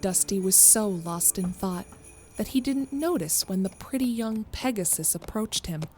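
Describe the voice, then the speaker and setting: A woman's voice: mid-range voice, expressive, normal speed, darkish tone, soft background music. A woman narrator reading a scripted story.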